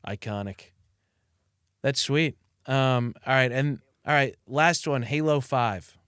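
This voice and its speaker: A man hosting a casual interview, upbeat and enthusiastic with quick reactions. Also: medium pitch, fairly bright tone, clear, resonant tone, dry recording, studio quality. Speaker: podcast host.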